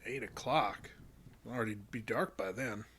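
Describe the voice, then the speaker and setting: A man playing a gruff, irritable, drunken fishing guide in a horror audio drama, blunt and folksy. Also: mid-range voice, moderate pace, fairly bright tone, slight background noise, natural voice. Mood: grumpy.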